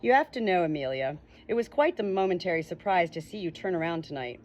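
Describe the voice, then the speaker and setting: A woman's voice: fairly low pitch, animated, a little mellow, muffled recording, natural voice. A woman voicing a creepy, smug male ghost in an audiobook, condescending and theatrical, drawing out his words. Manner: condescending, drawn-out, dramatic delivery.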